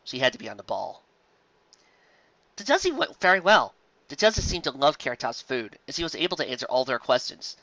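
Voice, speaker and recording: A story reader with a bright, forceful voice, reading a pony fan story aloud in a lively, expressive way. The sound is dull and lo-fi, and the room is dry. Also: medium pitch, fairly quick, no room sound.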